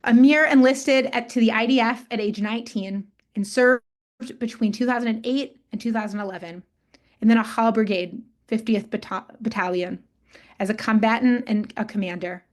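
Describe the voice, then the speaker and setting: A woman hosting a webinar on a video call, reading a measured, prepared introduction. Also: a little high. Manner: measured, reads from prepared text. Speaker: webinar host.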